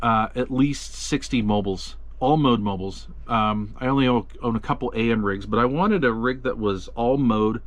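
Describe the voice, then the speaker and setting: A man giving a relaxed, chatty walkthrough of a radio's features, with plenty of ums and uhs. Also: medium pitch, normal speed, few pauses, no echo. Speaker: radio reviewer. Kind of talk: casual product walkthrough.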